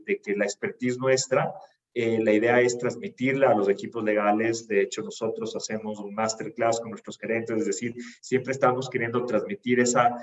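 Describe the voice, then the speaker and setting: A man speaking as a law firm partner on a panel, measured, often checking in with tag questions. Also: mid-range voice, a little mellow. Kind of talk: panel discussion. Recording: echoey room, muffled sound.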